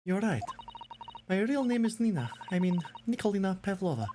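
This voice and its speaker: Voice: medium pitch, very animated, fairly quick, warm tone, a little hiss or hum, voice pushed up, close to an everyday voice. A streamer voicing a young ballerina in disguise with a put-on Russian accent, pleading.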